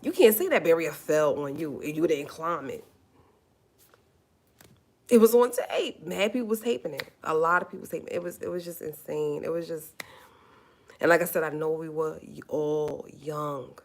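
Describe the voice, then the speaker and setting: A forty-year-old woman talks straight to her livestream viewers. Her voice sits very low, and she hammers her points home by repeating them. She calls listeners honey as she goes. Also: normal speed. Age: 40s. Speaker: livestream host. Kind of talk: casual livestream talk.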